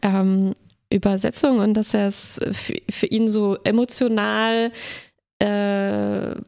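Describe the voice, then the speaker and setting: A woman's voice: mid-range voice, little pitch movement, slow pace, creaky, a little mellow. A woman talking in a casual conversation, explaining her thoughts with many fillers and agreeing hums.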